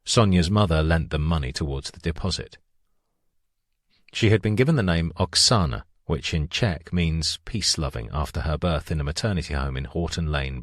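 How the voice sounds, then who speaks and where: A man reading a nonfiction audiobook aloud in a measured, even narration style. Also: deep voice, no room sound, clean studio sound.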